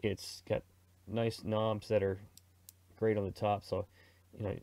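A man's voice: on the low side, little pitch movement, a little slow, a little mellow, no room sound. A middle-aged man giving a casual, rambling product review and how-to talk, in an informal conversational way. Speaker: radio reviewer and presenter.

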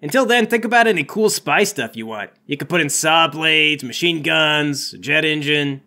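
A man's voice: a little high, moderate pace, sharp tone. A man playing a laid-back, casually generous rich dude with breezy, slangy talk in improvised comedic roleplay.

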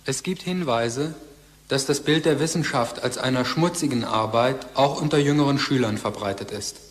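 A man plays a scholarly narrator in an audio drama. He reads formal text in a detached way, at a medium pitch. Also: normal speed, natural voice. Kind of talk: formal scripted narration, documentary-like.

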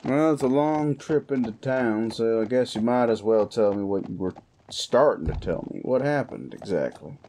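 A man playing a gruff, world-weary cowboy bounty hunter in a Western audio roleplay, with a folksy, threatening yet wry manner. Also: medium pitch, expressive, normal speed, mellow, a little hiss or hum, natural voice. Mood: wry, curious. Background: guitar music.

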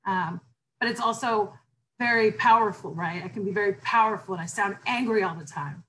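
A woman instructor with a low voice leading an online class discussion, emphatic and animated when talking theory. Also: fairly quick, a little hiss or hum, rough recording.

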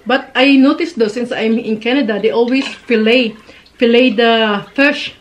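A woman's voice: a little high, steady tone, moderate pace, a little hiss or hum. A woman in her thirties from the Philippines with a Filipino accent, chatting while eating at a dinner table and mixing in Tagalog. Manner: chatty, giggly, mixes Tagalog and English. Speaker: vlogger. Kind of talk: mukbang chat. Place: living room at a dinner table. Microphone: camera across the table.

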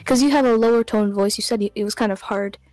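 A woman's voice: medium pitch, quick pace, darkish tone, no echo, poor audio. A young woman guest on a game stream, giggly and teasing, chatting and reading aloud. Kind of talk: casual chat and reading aloud.

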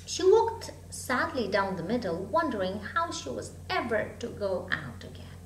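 A woman in her thirties reads a children's story expressively, with dramatic, deliberate phrasing, in a room at home. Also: a little high, very animated. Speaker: storybook reader. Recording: echoey room, hum and background noise.

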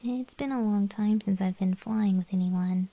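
A woman playing a gentle, lonely young gargoyle guardian in an ASMR roleplay, speaking in a soft, breathy, intimate whisper. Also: mid-range voice, moderate pace, no room sound, close to an everyday voice. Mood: friendly, playful.

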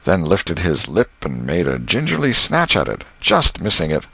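Deep-voiced man narrating a classic novel. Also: wildly swooping pitch, moderate pace, vocal fry, bright, forceful tone, husky, no echo. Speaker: audiobook narrator.

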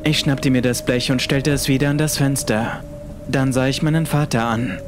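A man's voice: mid-range voice, fairly even intonation, moderate pace. A man reading a scripted horror story as the narrator of an audiobook. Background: music.

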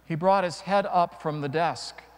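A middle-aged male priest delivers a homily in a slightly high voice. He speaks very slowly and deliberately, pausing for dramatic effect as he preaches. The long reverb of a large church surrounds his words. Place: large church, before a congregation.

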